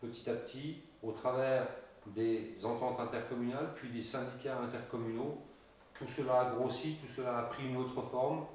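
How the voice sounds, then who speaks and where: A man's voice: medium pitch, darkish tone, a little hiss or hum. Man in a very echoey room, formal. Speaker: local election candidate presenting the list's programme. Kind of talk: prepared campaign presentation. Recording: very echoey room, distant microphone.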